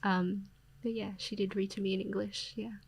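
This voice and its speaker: A young Australian woman, a poet, talking reflectively as a podcast guest, with plenty of fillers and soft laughs. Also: mid-range voice, little pitch movement, moderate pace, creaky, husky. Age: young adult. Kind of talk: casual interview conversation.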